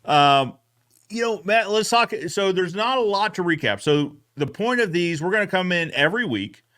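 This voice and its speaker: A man with a smooth, clean voice that sits slightly high for a male. He hosts a casual recap chat, talking in an upbeat, informal and colloquial manner. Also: very animated, moderate pace, sharp tone. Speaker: show host, fence expert.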